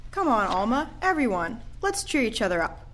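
A woman's voice: fairly high pitch, very animated, moderate pace. A woman playing a cheerful, encouraging care home activity leader in an audio play. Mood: coaxing. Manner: encouraging, gentle persuasion.

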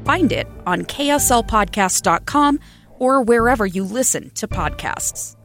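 A woman's voice: on the high side, animated, measured pace, a bit bright. A woman reading a scripted podcast advertisement as an announcer. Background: music.

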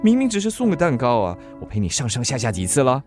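一位演员在广播剧里饰演酷酷的年轻男子，嘴上抱怨却肯帮忙，带新加坡口音，说话带点调侃。. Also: male voice, medium pitch, huge pitch swings, fast, darkish tone. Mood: complaining. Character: cool, teasing young man, reluctant helper. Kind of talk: radio drama dialogue. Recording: music playing under the dialogue.

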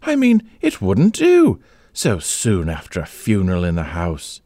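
A man's voice: sits low, huge pitch swings, a little slow, mellow, dry recording, full character voice. A man doing a fretful, pleading wife. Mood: anxious. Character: anxious, tender-hearted English wife.

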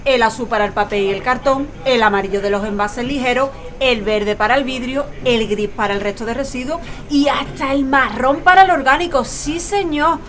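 Actress doing a bossy andalusian mom, middle-aged, emphatic, highish, audio drama. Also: female voice, moderate pace, few pauses, fairly bright tone. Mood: approving. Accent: Andalusian Spanish. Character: forthright, recycling-zealous family mother.

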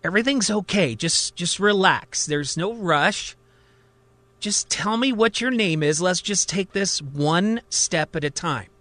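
A man voicing a calm female psychiatrist who patiently soothes and reassures a patient, in a radio drama. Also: sits high, no echo, voice pushed up. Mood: soothing. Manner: patient, placating, measured.

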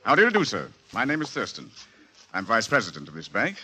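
A male actor playing a pompous, condescending bank vice president with an American accent, declaiming briskly in a 1940s radio comedy. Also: fairly low pitch, wildly swooping pitch. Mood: pompous, explaining.

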